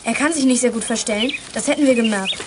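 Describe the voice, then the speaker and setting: A woman's voice: a little high, quick pace, noisy background, lightly acted. A female performer playing a sensible, caring schoolgirl detective in a radio play, earnest and reassuring.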